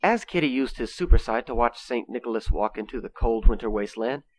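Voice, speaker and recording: A man's voice: medium pitch, expressive, fairly quick. A man reading scripted, storybook-style narration for an amateur radio play.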